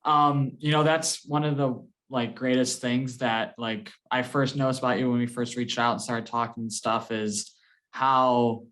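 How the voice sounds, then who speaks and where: A man hosts a laid-back interview and keeps things friendly. He talks at an ordinary middle pitch and leans on fillers like "you know" and "um". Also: fairly even intonation, unhurried, fairly bright tone, low-quality recording. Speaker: host. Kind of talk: casual interview hosting.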